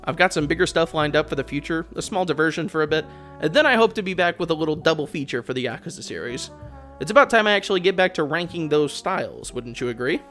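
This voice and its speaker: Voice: medium pitch, lively intonation, fast talker, fairly bright tone, faint music behind. A video essayist giving sarcastic, exasperated, opinionated commentary on a video game. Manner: sarcastic, exasperated, swearing rant. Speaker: video essayist, channel host.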